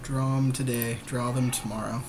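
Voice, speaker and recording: A man with a medium-pitched voice makes casual game chat. He sounds laid-back and agreeable, using slangy everyday words over faint background noise. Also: level tone, normal speed. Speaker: podcast co-host playing a card game.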